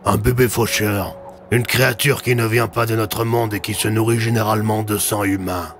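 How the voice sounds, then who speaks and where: A man's voice: on the low side, steady tone, moderate pace, fairly bright tone, a little hiss or hum, close to an everyday voice. A man voicing a grim, blunt military officer in an audio drama. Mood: grave. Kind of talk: audio drama character dialogue.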